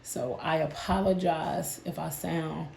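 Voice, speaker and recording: A woman, hoarse and a bit nasal from a sinus illness, chatting casually with her viewers about her budget. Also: low-pitched, fairly even intonation, takes it slowly, darkish tone, echoey room, a little hiss or hum. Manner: chatty, addresses viewers as "y'all". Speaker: budgeting channel host.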